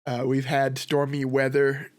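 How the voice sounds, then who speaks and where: A man with a sore throat from a cold, hosting a history reaction with relaxed, rambling commentary. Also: mid-range voice, measured pace. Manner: relaxed, rambling, thinks aloud with fillers. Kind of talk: casual commentary.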